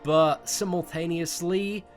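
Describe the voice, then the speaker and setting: A man's voice: fairly high pitch, steady tone, slow pace, soft background music. A young British man in casual, slangy storytime mode, talking to his viewers as a gaming video host with emphatic, sometimes sweary phrasing. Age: young adult.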